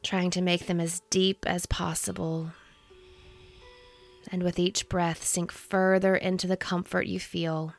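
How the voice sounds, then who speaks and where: A woman in her thirties leading a guided meditation in a soothing, gentle, unhurried manner. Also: fairly low pitch, little pitch movement, creaky, faint music behind. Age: 30s.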